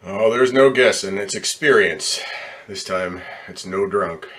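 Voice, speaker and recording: An actor playing a gruff, cynical, impatient ambulance driver in an old-time radio drama, with brusque streetwise talk. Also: male voice, low voice, unhurried, fairly bright tone. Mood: weary, cynical.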